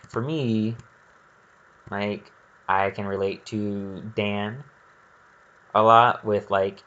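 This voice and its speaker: A man's voice: on the low side, fairly bright tone, lo-fi sound. A man giving casual, rambling gameplay commentary, thinking aloud in a candid and hesitant way. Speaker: gaming video creator.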